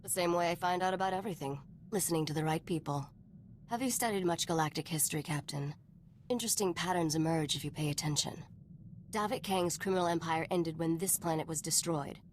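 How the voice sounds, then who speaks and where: A woman's voice: low-pitched, a little fast, slight background noise, close to an everyday voice. A woman voice-acting a cool, knowing smuggler informant in a video game, wry and composed as she tells stories.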